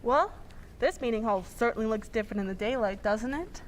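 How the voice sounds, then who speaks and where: A woman plays an inquisitive lady companion in an old-time detective radio play. Her voice is high and very animated, with faint noise behind it. She stays poised and polite. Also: a little fast, natural voice, poor audio. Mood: curious, poised.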